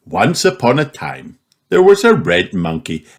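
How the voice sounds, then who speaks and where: A man voicing a calm, practical mother pig in a children's storybook, patient and matter-of-fact. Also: on the low side, a little slow, soft background music.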